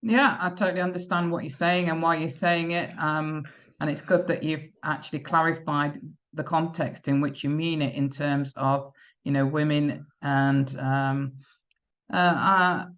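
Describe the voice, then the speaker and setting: A woman's voice: deep voice, fairly even intonation, a little slow, fairly bright tone, lo-fi sound. A woman hosting a podcast, interviewing a guest, talking hesitantly with many fillers and restarts. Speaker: podcast host.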